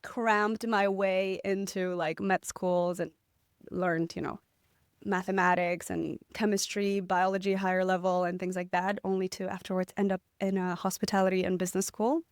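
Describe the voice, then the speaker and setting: A young woman from Poland, a podcast guest, talking in a reflective, relaxed way with light laughs. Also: mid-range voice, normal speed, clear, resonant tone, dry recording, clean studio sound. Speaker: guest, agency founder. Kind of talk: podcast interview.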